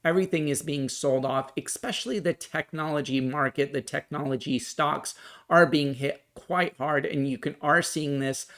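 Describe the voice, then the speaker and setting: A man's voice: fairly high pitch, moderate pace, a bit bright. A man hosting a stock market video, explaining and commenting in an earnest, emphatic way that circles back to key points. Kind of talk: explanatory financial commentary to viewers.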